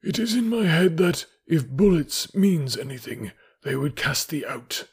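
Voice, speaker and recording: A man voicing a composed, wry lone wolf in a storybook reading, speaking deliberately in archaic wording. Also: on the high side, big pitch swings, vocal fry, husky, pitched above the performer's own voice, exaggerated voice. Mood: calm, wry.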